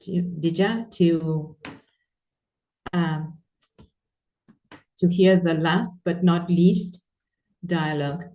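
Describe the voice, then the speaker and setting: Woman moderator, low-pitched, mellow tone, hesitant delivery, heard from a distance. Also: steady tone, drawn-out words, long pauses, lo-fi sound. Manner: hesitant, with fillers and restarts. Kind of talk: introducing speakers at an online event.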